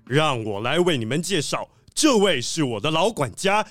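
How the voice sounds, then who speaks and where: Guy doing a proud panther ship owner for a kids audio story, highish male voice, super lively and theatrical. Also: normal speed, no echo, studio quality, soft background music. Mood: hearty, proud. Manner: theatrical, exclamatory, welcoming. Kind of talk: children's audio drama acting.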